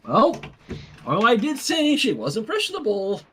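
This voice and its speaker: A fan fiction reader narrating a story with casual, irreverent asides in an amused, expressive way. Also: mid-range voice, moderate pace, slight background noise.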